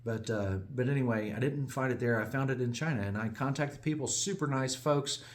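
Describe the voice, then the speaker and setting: A man's voice: medium pitch, a little fast, a little hiss or hum. A man from the United States, a small business owner, giving a casual, digressive update that often corrects itself and chuckles along the way. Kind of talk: casual rambling monologue.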